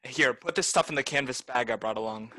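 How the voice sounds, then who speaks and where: Man acting a greedy bullying butler, mocking, bright edgy tone, middle pitch, old-time radio play. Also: a little fast, slight background noise. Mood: scornful. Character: greedy scheming male butler, harsh and scornful. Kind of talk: 1930s-style radio drama acting.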